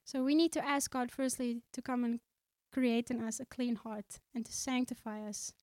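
A woman's voice: a little high, fairly even intonation, darkish tone, no echo. Earnest woman preaching a sermon. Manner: earnest, slows down to read scripture aloud; conversational, with fillers and restarts.

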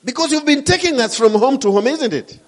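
A man's voice: extremely high pitch, lively intonation, a little fast. A middle-aged man from Kenya with a Kenyan accent, preaching a sermon with emphatic, rising and falling delivery.

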